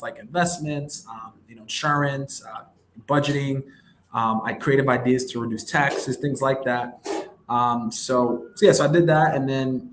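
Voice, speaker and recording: A young man from New York City, a wealth management advisor, talking casually and at length as a guest, with lots of fillers and an upbeat, self-promoting manner. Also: mid-range voice. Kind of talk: casual interview conversation. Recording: roomy echo with faint background hum.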